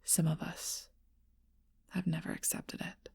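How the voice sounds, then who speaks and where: A woman voicing an ancient, lonely, seductive female vampire in a whispered ASMR role-play, speaking slowly and softly into a close mic. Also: dry recording, natural voice. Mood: mournful whisper. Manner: soft intimate whisper, slow and measured.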